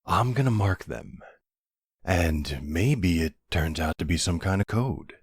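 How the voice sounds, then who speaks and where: A man playing a supportive, puzzled boyfriend in an intimate audio roleplay, speaking in a hesitant, gently questioning way with a husky voice. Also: sits low, lively intonation, slow pace, no echo, clean studio sound, full character voice. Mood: attentive, caring.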